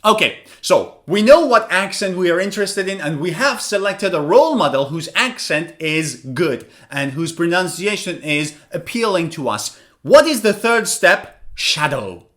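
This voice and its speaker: A man's voice: sits high, very animated, normal speed, hardly any pauses, a bit bright. A man teaching an English pronunciation lesson, asking questions and answering them himself.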